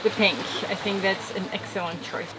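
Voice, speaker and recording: A woman's voice: mid-range voice, steady tone, normal speed. A woman hosting a sailing vlog, chatting playfully and teasing her crew in a small boat cabin at sea. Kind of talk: casual chat on a boat. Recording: loud boat and sea noise behind.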